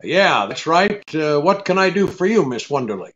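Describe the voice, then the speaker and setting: An actor playing a hard-boiled, wry private detective with laconic, slangy delivery in a 1940s-style radio drama. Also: male voice, a little high, big pitch swings, normal speed, fairly bright tone, dull audio, slight background noise. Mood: breezy.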